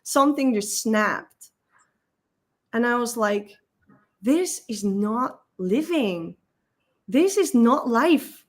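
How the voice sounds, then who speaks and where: A woman in her thirties with a Dutch accent, a guest telling a personal story in a halting, emotional way, often repeating words. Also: fairly high pitch, animated. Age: 30s.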